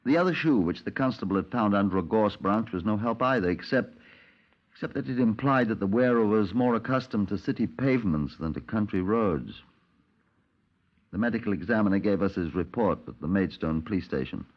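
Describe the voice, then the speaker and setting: An actor playing a methodical British police sergeant in a 1950s radio crime drama, with a measured, formal, dry manner. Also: male voice, lowish voice, animated. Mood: measured narrating. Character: male police sergeant detective narrating his case, methodical. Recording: dull 1950s radio recording.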